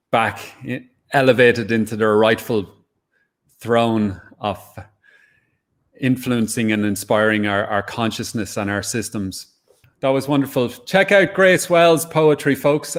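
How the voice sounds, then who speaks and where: A man hosting an online event, soft-spoken and reflective as he introduces guests. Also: mid-range voice. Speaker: host.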